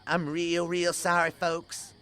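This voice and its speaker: A man's voice: fairly high pitch, monotone, takes it slowly, pushed and bright. A man playing a humbled, apologetic cowboy with a rural American accent in a comic Western audio drama. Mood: remorseful, grave. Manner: folksy, apologetic. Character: humbled, remorseful cowboy with bandaged, blistered face.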